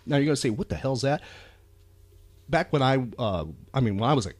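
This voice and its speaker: Animated man chatting as podcast host. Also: mid-range voice, brisk, no echo. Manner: enthusiastic. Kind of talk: casual rambling chat about pop culture.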